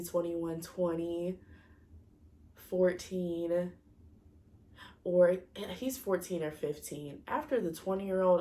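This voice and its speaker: A woman's voice: on the low side, a little mellow. A woman in her twenties talking casually to her viewers, a bit stuffy from a cold, rambling and often correcting herself as she searches for words. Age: 20s. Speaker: vlogger. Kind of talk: casual personal talk to camera.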